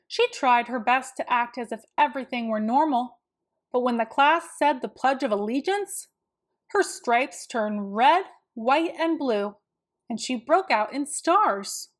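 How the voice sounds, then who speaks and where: A woman narrating a children's storybook aloud in an expressive, deliberate manner. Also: fairly high pitch.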